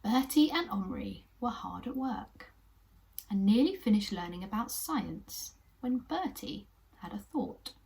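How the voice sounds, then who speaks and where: Gentle English woman reading to children. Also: mid-range voice, expressive, a little slow, vocal fry. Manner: gentle, encouraging, sometimes whispery narration. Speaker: children's puppet show host. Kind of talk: storytelling for children.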